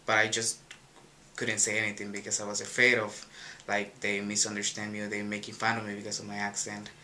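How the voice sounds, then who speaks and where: A man's voice: lowish voice, monotone, brisk, pushed and bright, a little hiss or hum. Earnest young man, Guatemalan Spanish accent. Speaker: youth program participant. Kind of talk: personal testimony.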